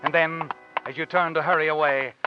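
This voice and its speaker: An actor playing an ominous, taunting unseen narrator in 1940s suspense radio style. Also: male voice, a little high, steady tone, fairly quick, lightly acted. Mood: urgent, ominous. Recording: muffled old radio with music underneath.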